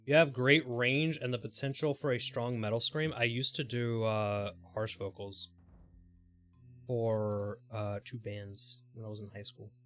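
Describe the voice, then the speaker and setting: A man's voice: medium pitch, little pitch movement, measured pace, a bit bright, faint music behind. A man livestreaming a video game with casual, playful commentary and offhand swearing. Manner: playful, profane, goofy vocal asides. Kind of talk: casual live gameplay commentary.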